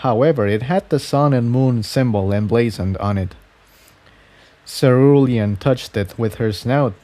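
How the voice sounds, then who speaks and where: A man's voice: medium pitch, dark tone. A man reading fan fiction aloud as scripted story narration.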